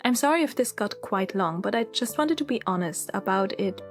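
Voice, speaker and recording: A woman's voice: medium pitch, expressive, fairly quick, soft background music. A woman in her thirties with a Swiss German accent, narrating her story in a candid, chatty, reflective voice-over. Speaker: illustrator and channel host.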